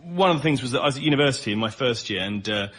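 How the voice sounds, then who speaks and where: A man's voice: medium pitch, animated, racing, fairly bright tone. A man trading banter and self-deprecating, mock-pitiful comic stories as a panel guest. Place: stage before a studio audience. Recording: live show with faint background noise.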